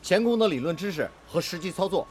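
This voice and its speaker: A man's voice: high-pitched, lively intonation, quick pace, slight background noise. An actor playing an eager, polite, slightly hesitant nineteen-year-old male trainee fitter in a radio drama. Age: young adult.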